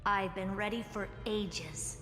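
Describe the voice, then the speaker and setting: An actress playing an aloof, blunt genius scholar with a dry, dismissive manner. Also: female voice, mid-range voice, takes it slowly, bright, forceful tone, big-room sound. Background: game music.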